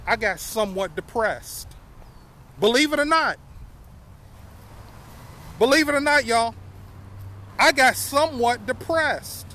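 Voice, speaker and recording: A man's voice: very high voice, lively intonation, slow, pauses often, pushed and bright. A man in his fifties telling his life story casually to viewers, often repeating phrases and calling them y'all. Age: 50s. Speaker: vlogger.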